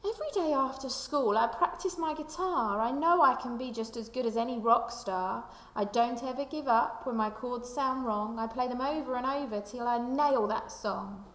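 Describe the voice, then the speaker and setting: A woman reading a children's picture book aloud like a primary school teacher, with expressive, deliberate storytelling delivery. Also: a little high. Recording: echoey room with long reverb.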